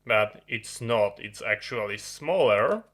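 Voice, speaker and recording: A man who is a non-native English speaker, giving a halting, unscripted hands-on explanation of a piece of gear as a video host. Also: fairly low pitch, lively intonation, fairly bright tone. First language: not English. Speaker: host of an electronics review channel. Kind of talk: unscripted hands-on product demonstration. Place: indoor electronics workbench.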